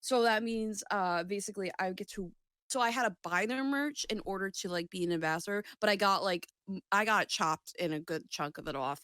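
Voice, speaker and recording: A woman co-hosting a podcast in casual chat, excitable, chatty and full of slang. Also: mid-range voice, a little fast, no room sound. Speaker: podcast co-host.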